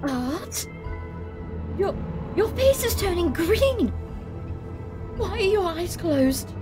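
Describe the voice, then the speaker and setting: A frightened young woman, played by an actress, in an audio drama, very high and swooping in pitch. She pleads in a tremulous, panicky way over music. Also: sing-song, slow, exaggerated voice. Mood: anxious, pleading. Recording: music behind the voice.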